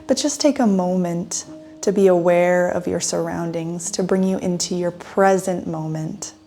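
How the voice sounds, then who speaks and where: Gentle lady doing guided meditation, really slow and soothing, soft music behind her. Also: on the low side. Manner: gentle, soothing, with long pauses. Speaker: meditation guide, yoga teacher. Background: soft instrumental music.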